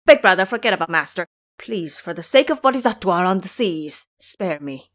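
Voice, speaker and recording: A woman's voice: on the low side, animated, normal speed, fairly bright tone. A woman voicing a cowardly, wheedling pig-monster disciple in an audio drama, whining and grovelling as he pleads. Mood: begging.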